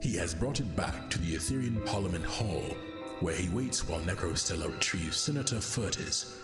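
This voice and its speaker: A man's voice: sits low, lively intonation, moderate pace. A man narrating a space fantasy audio drama as a solemn storyteller, in scripted style. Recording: loud music under narration.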